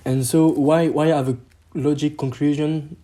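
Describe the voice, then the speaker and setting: A man's voice: fairly high pitch, fairly even intonation, measured pace, warm tone. A twenty-year-old man with a French accent chatting casually and hesitantly as a podcast guest, sometimes slipping into French. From Paris, France. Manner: hesitant, slips into French mid-sentence. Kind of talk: casual chat.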